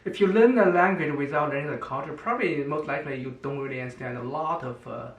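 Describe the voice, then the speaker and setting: A middle-aged man whose first language is Chinese, chatting casually and haltingly, with many restarts and fillers. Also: on the high side, echoey room, far from the mic. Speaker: co-host, father. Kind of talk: casual chat.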